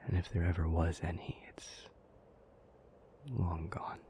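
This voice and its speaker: A man playing a troubled, powerful demon in an intimate audio roleplay, speaking breathily in deliberate, halting phrases. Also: low-pitched, level tone, warm tone, slight background noise. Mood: brooding, doubtful. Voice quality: breathy, half-whispered. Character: troubled, powerful male demon confiding gravely in a lover.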